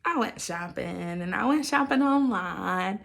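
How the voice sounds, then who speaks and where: A woman's voice: medium pitch, expressive, unhurried, gravelly, a bit bright. A woman talking informally and candidly to her viewers, like a chatty personal video diary. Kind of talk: casual confessional chat to viewers.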